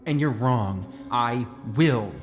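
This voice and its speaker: A man's voice: medium pitch, slow pace, soft background music, close to an everyday voice. An actor playing a self-important, controlling male astronaut in an audio drama, insistent and defensive. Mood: dismissive, angry. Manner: insistent, dismissive.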